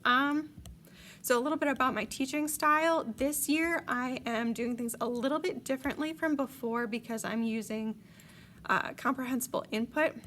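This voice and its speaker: A woman's voice: high voice, moderate pace, fairly bright tone, a little hiss or hum. A woman in her late twenties from the Chicago area, a French teacher giving parents a friendly, enthusiastic video message with frequent ums. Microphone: tablet device.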